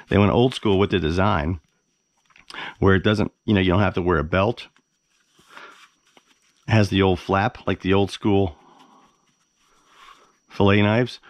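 A man's voice: low voice, dry recording. A man giving a casual, unscripted product review as an enthusiastic, plain-spoken host who repeats words for emphasis. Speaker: host of a fishing gear review channel. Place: carpeted living room at home, indoors.

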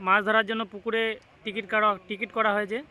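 Fast, bright, excited vlogger man. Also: high-pitched. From West Bengal, India. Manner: excited, addresses viewers directly. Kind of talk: casual running vlog commentary to viewers. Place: outdoors beside a pond.

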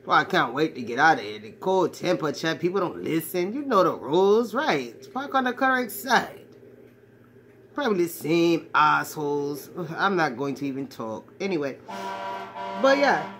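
A woman's voice: low voice, big pitch swings, moderate pace, a bit bright, slight background noise. A woman playing a brash, crude man in his forties who talks like a swaggering ladies' man, rambling to a phone camera in a small bedroom. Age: 40s. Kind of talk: comedic character monologue to camera. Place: small cluttered bedroom indoors. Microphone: phone at arm's length.

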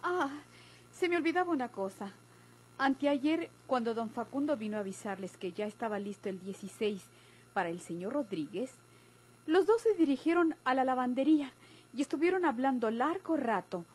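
A woman's voice: sits high, lively intonation, normal speed, darkish tone, husky, low-quality recording. An actress playing an inquisitive, suspicious young Mexican woman, pointed and insistent, in an old radio drama. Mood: insistent, gossipy. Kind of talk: golden-age Mexican radio drama acting.

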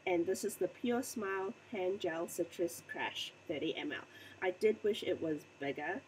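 A young woman with a croaky voice from a recent flu, chatting casually to a webcam in her bedroom with lots of ums. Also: on the low side, normal speed, a little hiss or hum, low-quality recording. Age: young adult. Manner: chatty and rambling, many ums. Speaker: beauty vlogger. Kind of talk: casual unboxing vlog to camera. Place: small bedroom indoors. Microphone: webcam at desk distance.

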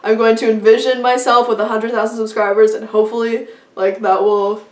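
A woman's voice: a little high, little pitch movement, fairly quick, roomy sound, recorded from a distance. A young woman talking to camera in a casual, upbeat vlog, chatty and full of fillers. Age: young adult. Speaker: vlogger. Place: small indoor room before a plain wall.

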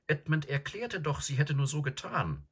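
A troubled schoolgirl played by a male reader, pitched well up and lively. She protests earnestly in a hesitant, plaintive storybook manner. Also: normal speed, breathy edge, exaggerated voice. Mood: hesitant, protesting. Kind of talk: audiobook character acting.

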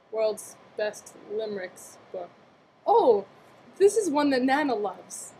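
A woman's voice: a little high, sing-song, takes it slowly, dark tone, breathy edge, low-quality recording. A young adult woman doing a read-aloud storytime for small children, playful, with chatty questions and exclamations. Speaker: aunt reading a picture book to young relatives. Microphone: computer webcam mic at arm's length.

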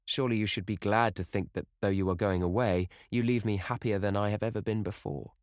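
A man's voice: on the low side, steady tone, fairly quick, darkish tone, no echo, studio quality, voice pushed up, close to an everyday voice. A man voicing a dreamy, playful young woman in love, as an audiobook character. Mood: joyful, tender.

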